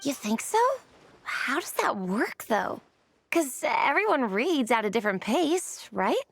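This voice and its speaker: An eager young bookworm girl in a video game. She has a high voice and a chatty, earnest, friendly way of talking, with faint music behind her. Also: very animated, unhurried, creaky, heavily acted. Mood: puzzled. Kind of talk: English video game voice acting.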